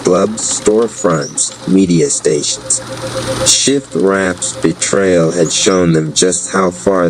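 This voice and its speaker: A man narrating a scripted dramatic story in a measured, theatrical way. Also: deep voice, background noise.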